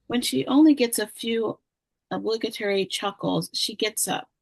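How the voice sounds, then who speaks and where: A woman's voice: on the high side, normal speed, creaky, a little mellow, no room sound, poor audio. A woman narrating the stage directions of a screenplay in a scripted read-through.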